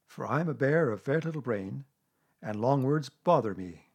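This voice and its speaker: A man voicing a humble, slow-witted, gentle bear in a children's storybook, speaking in a simple, dreamy, earnest way. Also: medium pitch, lively intonation, slightly rough, muffled recording. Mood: humble, sad.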